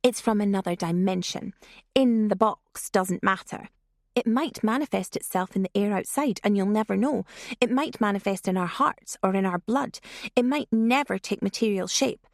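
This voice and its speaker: A woman's voice: mid-range voice, normal speed, gravelly, no echo, studio quality, close to an everyday voice. A woman voicing a bossy, theatrical teenage girl who leads a prank. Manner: commanding, theatrical.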